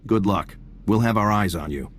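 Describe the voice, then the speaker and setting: A man acting as a smooth-mannered spy in a video game. His voice sits deep and a bit husky. He briefs with understated, wry ease, as if nothing could fluster him. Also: normal speed, natural voice. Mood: wry, relaxed. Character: smooth male intelligence field agent, adult, wry, unflappable. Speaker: intelligence agent briefing an operation. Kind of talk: video game dialogue, conversational.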